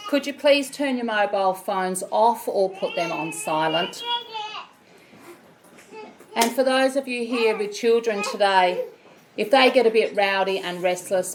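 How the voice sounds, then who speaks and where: An Australian woman with a loud voice, leading a funeral service as celebrant in a measured, solemn way. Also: mid-range voice, a little hiss or hum. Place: funeral chapel.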